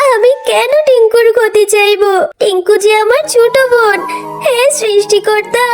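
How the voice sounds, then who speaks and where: A woman voicing a meek, childlike orphan girl fox with a pleading manner in a children's cartoon story. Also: very high-pitched.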